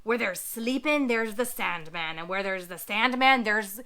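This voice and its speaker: A woman voicing a gruff, stubborn male pirate captain in a storybook read-aloud, growling pirate talk with dropped endings. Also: medium pitch, expressive, a little slow, crowd noise, voice pushed down.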